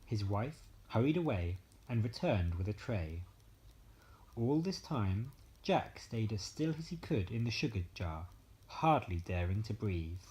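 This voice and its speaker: A man narrating a bedtime story as an expressive storyteller. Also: on the low side, unhurried.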